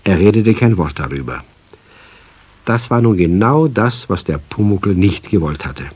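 A man narrating a children's radio play as a calm, measured storyteller. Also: deep voice, slightly rough, dry recording, heavily acted.

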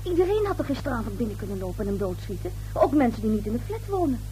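An actress playing a nervy, curious and sceptical young daughter in a radio play. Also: female voice, fairly high pitch, big pitch swings, very fast, warm tone, a little hiss or hum, low-quality recording. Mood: probing. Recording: old radio drama recording.

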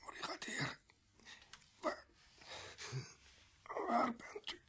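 An actor in a radio play playing a gravely wounded, bitter criminal who gasps weakly in halting, broken phrases. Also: male voice, pushed and bright. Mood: weak, pleading. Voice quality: breathy, husky whisper. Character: dying, bitter male criminal protesting his innocence of murder.

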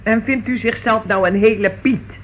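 A woman's voice: fairly high pitch, moderate pace, close to an everyday voice. An actress playing a sarcastic, indignant woman in a radio drama, sparring defiantly with a police inspector. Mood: scornful. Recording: dull, noisy old radio recording.

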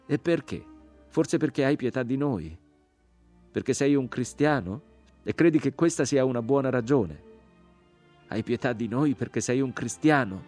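A gaunt crucified man as a male narrator plays him, full of bitter scorn and accusation. His voice climbs well above the reader's deep register and rings out in declamatory, mocking questions, with music underneath, as in a dark literary story. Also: lively intonation, normal speed, close to an everyday voice. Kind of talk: dramatized literary audiobook.

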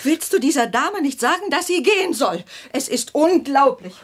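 A woman's voice: high-pitched, animated, moderate pace. An actress playing a haughty, easily offended middle-aged mother and wronged wife, melodramatic, in a German radio drama. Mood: indignant, wounded.

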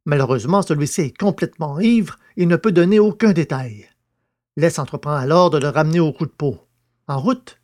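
A narrator delivers a scripted recap for a serial audio drama. The voice is mid-pitched and reads as prepared storytelling. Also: darkish tone.

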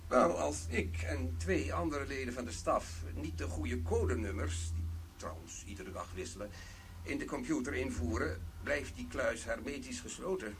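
A man acting as an officious company manager in a radio play, speaking formally and precisely with a very animated, swooping intonation. His middling voice sits over a faint layer of background noise. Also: medium pitch, moderate pace, close to an everyday voice. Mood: brisk, explanatory. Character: formal male security-minded company manager showing a vault.

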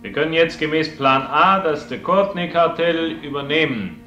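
Pompous bossy magnate, tense raised voice, roomy but muffled radio drama sound. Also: male voice, on the high side, normal speed, faint music behind. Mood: triumphant, pompous. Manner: pompous, forceful. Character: grandiose, domineering male corporate magnate addressing staff. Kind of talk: radio play speech. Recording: dull, echoing room sound.

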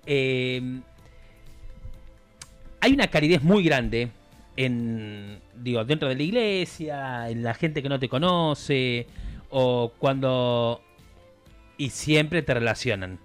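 A man with a Rioplatense Spanish accent chats as a guest in a radio studio, his pitch rising and falling with animation. He tells his story casually, pausing on fillers and restarting his sentences. Faint music plays underneath. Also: lively intonation, clear, resonant tone. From Argentina.

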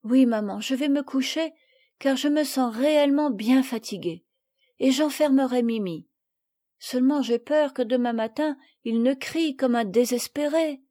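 A woman reading an audiobook, voicing a tender-hearted little girl with a high, eager childlike voice. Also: normal speed, warm tone. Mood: tired. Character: sweet, tender-hearted little girl who spoils her bird.

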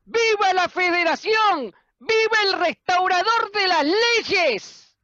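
A performer voicing a rowdy, jeering crier from a slaughterhouse mob, shouting fervently in a radio drama. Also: very high-pitched, animated, takes it slowly, dry recording, muffled recording, full character voice.

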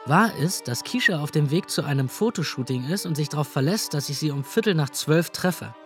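Anxious young man, terse and a bit high, faint music. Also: lively intonation, brisk. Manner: terse narration, pleading in scene. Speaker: first-person narrator. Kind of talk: radio drama narration and acting.

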